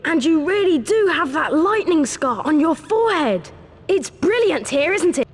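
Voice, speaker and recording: An excitable young British boy in a video game. The high voice leaps around with eagerness and carries a long reverberant tail. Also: moderate pace. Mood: awed, eager.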